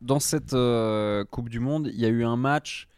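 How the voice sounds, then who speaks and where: A young man from Switzerland acting as interviewer in a comic mock interview, asking playful, drawn-out questions. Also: mid-range voice, a little mellow, clear, resonant tone, no echo.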